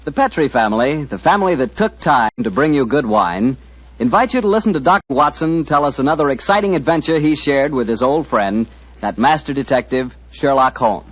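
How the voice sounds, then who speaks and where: Husky-voiced old-radio announcer. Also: male voice, medium pitch, expressive, brisk. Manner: folksy, chatty. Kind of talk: scripted radio commercial. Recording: muffled old radio broadcast.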